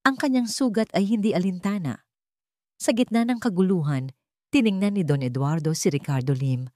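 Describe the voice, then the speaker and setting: A woman's voice: lowish voice, normal speed, mellow, no echo, studio quality. A woman reading a scripted dramatic audio story as its narrator.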